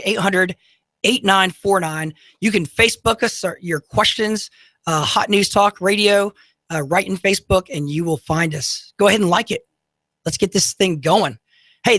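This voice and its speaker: A man in his thirties with an unusually high voice, hosting a radio talk show in an upbeat, motivational way with many fillers. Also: a bit bright, dry recording. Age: 30s. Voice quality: high, unusual voice often mistaken for a woman. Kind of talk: talk radio monologue with ad reads. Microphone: studio microphone.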